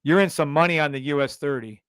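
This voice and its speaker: A middle-aged man hosting a live trading stream, calling out orders in quick bursts with brash, profane commentary. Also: a little high, a bit bright, clear, resonant tone, no room sound, studio quality.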